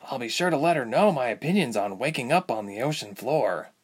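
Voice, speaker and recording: Guy reading as an anxious young adventurer woman, higher pitch, earnest and questioning, fantasy audiobook. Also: expressive, fairly quick, slightly rough. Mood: sheepish, frustrated.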